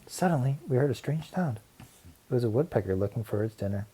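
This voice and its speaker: A man reading a children's story aloud in an animated, expressive storytelling manner. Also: mid-range voice, fairly quick, husky, low-quality recording. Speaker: audiobook reader. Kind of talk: children's story read-aloud with character voices.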